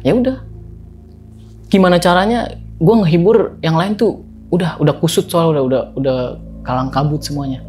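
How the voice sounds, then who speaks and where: A man's voice: on the high side, moderate pace, long pauses, faint music behind. Podcast guest, Betawi man, casual storyteller who acts out voices and laughs easily. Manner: animated, slangy, acts out quotes, laughs often.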